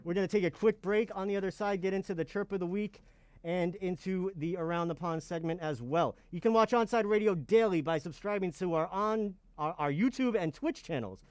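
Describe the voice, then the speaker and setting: A man hosting a sports radio show, thanking a guest and reading quick, friendly plugs. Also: sits high, darkish tone, clear, resonant tone, dry recording. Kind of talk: radio show host wrap-up and promos.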